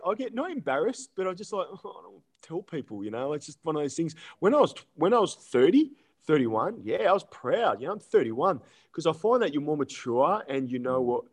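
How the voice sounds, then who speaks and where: A man, telling stories in a relaxed podcast chat, full of ums and easy laughs. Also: a little high. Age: 30s. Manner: laid-back storytelling with frequent ums and laughs. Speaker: guest.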